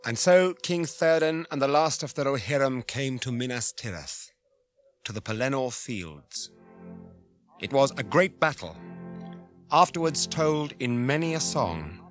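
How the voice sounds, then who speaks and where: Narrator: British man, unhurried, solemn storytelling for an epic radio fantasy, average pitch. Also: animated. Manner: grave, measured, portentous. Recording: radio drama with faint music behind.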